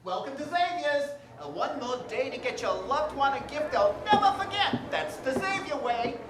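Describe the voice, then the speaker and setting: An actress playing a cheerful, guileless store greeter in a 1940s radio drama, folksy, chatty and hesitating. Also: female voice, high-pitched, animated, normal speed, crowd noise. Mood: cheerful, welcoming. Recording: echoey theater with clear background noise.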